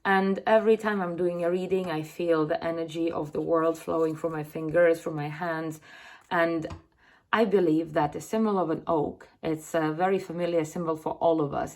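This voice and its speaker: Russian-born woman in her 30s, lowish voice, talks slow and earnest, lots of uhs and restarts. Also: a little mellow. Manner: earnest, explanatory, with frequent fillers and restarts. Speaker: card reader presenting her deck. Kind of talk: casual spoken explanation to camera.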